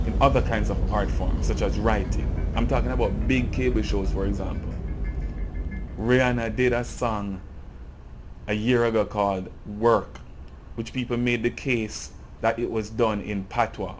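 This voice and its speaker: A Jamaican man giving an earnest, impassioned cultural commentary in a video essay. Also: on the low side. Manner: earnest, grows impassioned and emphatic.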